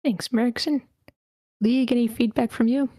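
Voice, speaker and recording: A woman's voice: on the high side, steady tone, moderate pace, dark tone, no echo, studio quality. A woman casually moderating an online meeting as host. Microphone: online meeting call.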